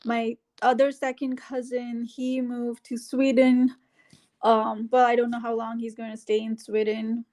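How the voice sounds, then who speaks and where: Middle-aged indian lady, slightly high voice, lots of ums and restarts, chatty interview. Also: level tone, unhurried, a little mellow. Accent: Indian English. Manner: hesitant, many fillers and restarts. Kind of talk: casual conversational interview.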